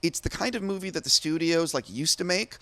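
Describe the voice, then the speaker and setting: Eager interviewer with many ums, non-native English. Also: male voice, fairly high pitch, expressive, brisk, sharp tone, no echo. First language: not English. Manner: enthusiastic, hesitant with many fillers and restarts. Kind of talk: casual interview. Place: studio. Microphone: studio microphone.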